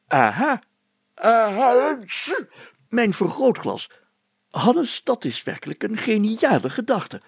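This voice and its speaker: A man voicing a pompous, fussy and excitable mayor in a story, exclaiming and stammering with indignant drawn-out words. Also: sits high, gravelly, husky, dry recording, pitched above the performer's own voice, heavily acted. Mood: delighted, pompous.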